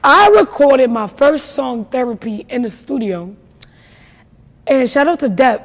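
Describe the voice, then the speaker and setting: Raspy-voiced young woman telling her story. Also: high voice, expressive, unhurried, big-room sound. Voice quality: natural raspy voice. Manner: emphatic, storytelling, repeats "let me tell you something". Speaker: rapper guest. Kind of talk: casual interview talk.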